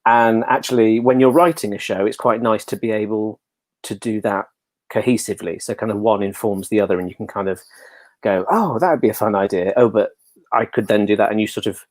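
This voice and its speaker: A man's voice: on the low side, a little fast, a little mellow, no room sound. An English man chatting casually and telling anecdotes as an interview guest, with a jokey, self-deprecating manner. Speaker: interview guest, pantomime dame performer.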